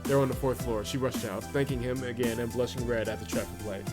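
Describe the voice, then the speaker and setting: Man, game host, reading narration aloud, casual reactions, mid-pitched, prominent game music. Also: steady tone, fast. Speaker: host of a visual novel playthrough. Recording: loud game music behind voice.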